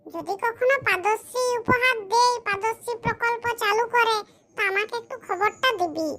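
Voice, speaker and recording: A performer voicing a boastful, scheming village man in a cartoon, with a smug, slow, explaining manner. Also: very high-pitched, sharp tone, full character voice. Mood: bragging. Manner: smug, bragging, explains his schemes slowly. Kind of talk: cartoon comedy voice acting.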